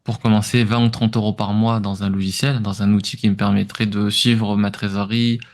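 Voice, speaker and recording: A man's voice: lowish voice, little pitch movement, brisk. A man with an accent from France giving informal, explanatory interview answers as a podcast guest, somewhat hesitant in his delivery. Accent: French from France.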